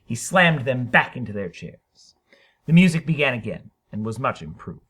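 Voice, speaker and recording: Male narrator for dramatic short fiction: bright, forceful, widely varied pitch, emphatic phrasing. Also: very animated, slightly rough. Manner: theatrical, emphatic, deliberate phrasing. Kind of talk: dramatic short story reading.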